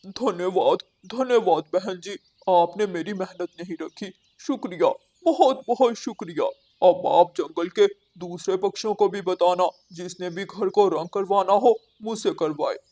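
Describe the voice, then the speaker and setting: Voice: mid-range voice, a little slow, few pauses, dark tone. An actor voicing a hardworking, polite male crow house painter in a children's cartoon, earnest and reassuring. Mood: earnest, grateful. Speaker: cartoon character voice. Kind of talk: Hindi children's cartoon dialogue.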